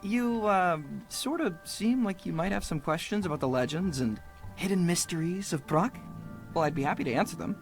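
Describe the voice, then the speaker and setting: A woman's voice: fairly low pitch, animated, normal speed, faint music behind. An actress playing a friendly, chatty young woman puppeteer in a video game, with a teasing manner. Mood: grateful. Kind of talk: video game character dialogue.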